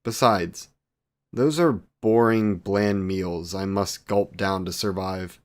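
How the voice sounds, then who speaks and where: A man's voice: deep voice, takes it slowly. A man playing a courtly, devoted, youthful vampire boyfriend in a romantic audio roleplay, with archaic, formal wording and tender endearments. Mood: tender, doting. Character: courtly, devoted 128-year-old male vampire boyfriend, youthful, doting.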